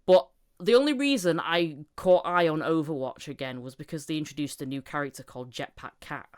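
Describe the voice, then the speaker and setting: A woman's voice: low-pitched, fairly quick, darkish tone, clear, resonant tone. A woman hosting a casual interview podcast, talking in an enthusiastic, rambling way. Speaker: podcast host.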